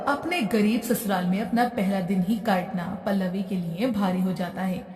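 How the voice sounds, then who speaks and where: A woman's voice: medium pitch, moderate pace, a little mellow. Echoey woman narrating a moral story. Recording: echoey hall-like reverb, distant.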